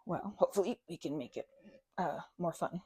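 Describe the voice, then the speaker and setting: A woman's voice: fairly low pitch, a little fast, very noisy. A woman performing a casual best-friend ASMR roleplay, chatting in a playful, scatterbrained way and rambling as she goes.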